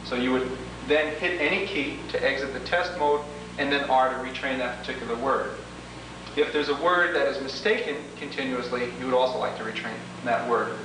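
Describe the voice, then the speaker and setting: A man narrating an instructional software demonstration, explaining each step in order. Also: mid-range voice. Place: reverberant indoor room, away from mic. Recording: very echoey, distant, noisy 1980s tape.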